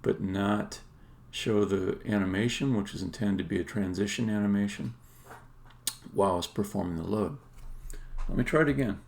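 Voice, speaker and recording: A man who develops games, talking casually and haltingly to himself as he live-codes on a stream. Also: on the low side, gravelly, a little mellow. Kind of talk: casual live-coding commentary, thinking aloud. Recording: faint background noise with keyboard clicks. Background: computer keyboard typing and clicking.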